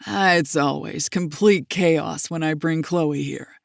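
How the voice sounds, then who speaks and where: A woman's voice: sits low, slow pace, vocal fry, dry recording, studio quality, voice pushed down, exaggerated voice. A woman voicing a kindly, joking old grandfather who laughs easily. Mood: jovial. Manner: warm, laughing, gently teasing.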